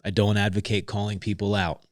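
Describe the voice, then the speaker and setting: A man's voice: on the low side, little pitch movement, fairly quick, no room sound. A middle-aged man, a guest on a podcast, joking in a playful, mock-dramatic way. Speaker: ministry founder, guest. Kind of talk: casual chat.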